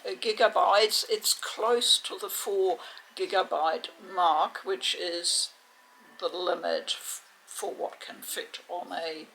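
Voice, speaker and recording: A woman giving a casual, chatty unboxing commentary in a measured, hesitant way, with a creaky voice. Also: lowish voice, steady tone, a bit bright, rough recording. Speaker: video game unboxing host. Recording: faint background noise.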